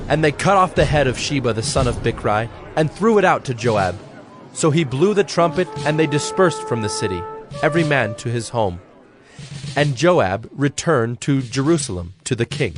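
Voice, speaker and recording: Solemn male scripture narrator over faint music. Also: medium pitch.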